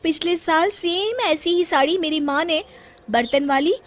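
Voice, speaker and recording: A woman's voice: extremely high pitch, a little slow, voice pushed up. A woman voicing a boastful, childish young daughter-in-law in a story, with a showy, whiny way of talking. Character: boastful, childish young daughter-in-law bragging about her parents' home.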